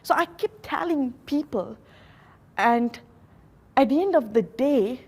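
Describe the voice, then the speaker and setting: Slow, earnest high-voiced Malaysian woman. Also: expressive, a little mellow, breathy edge. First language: a Malaysian local language. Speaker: motivational speaker. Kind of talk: motivational talk.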